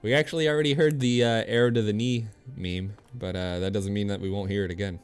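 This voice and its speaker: A man's voice: lowish voice, steady tone, fast, clear, resonant tone. A man streaming a video game, chatting casually with viewers and commenting as he plays in an easygoing way. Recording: game music behind the voice. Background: video game music.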